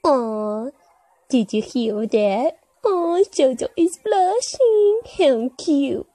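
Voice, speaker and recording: A woman voicing a cutesy, teasing, excitable young male villain boss in a comic dub, with gushing delivery and theatrical gasps. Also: high-pitched, very slow, dry recording, pitched above the performer's own voice, exaggerated voice.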